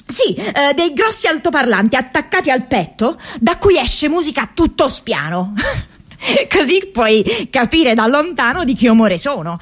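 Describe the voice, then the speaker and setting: High, animated, restless young woman. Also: exaggerated voice. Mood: giddy, whimsical. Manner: confessional, halting, with dramatic pauses and bursts. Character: restless, playful, intense American college-age young woman. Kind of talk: radio theatre monologue from diaries.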